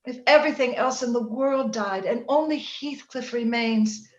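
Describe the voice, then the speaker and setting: Woman acting high-pitched young gentlewoman, proud, theatrical, romantic, radio play, roomy and slightly dull recording. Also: normal speed, close to an everyday voice. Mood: wistful, torn. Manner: theatrical, emotional, haughty. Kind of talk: old-time radio drama acting. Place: indoor room, recorded remotely over video call.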